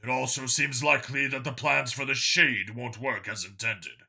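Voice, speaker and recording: A deferential satyr henchman from an audiobook performed by a man. His voice is sharp and husky, and he speaks formally and carefully, like an underling addressing his lord. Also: medium pitch, normal speed, exaggerated voice. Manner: formal, subservient, hesitant. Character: deferential alien satyr soldier, obedient underling. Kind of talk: audiobook character voice.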